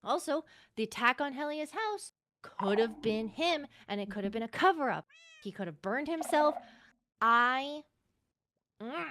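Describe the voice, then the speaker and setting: A woman's voice: high-pitched, animated, fairly bright tone, slight background noise. A woman playing an outspoken, suspicious young court confidant in game roleplay, talking informally and with heavy emphasis. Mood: emphatic, suspicious. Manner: emphatic, informal, drawn-out stresses. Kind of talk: improvised game roleplay dialogue.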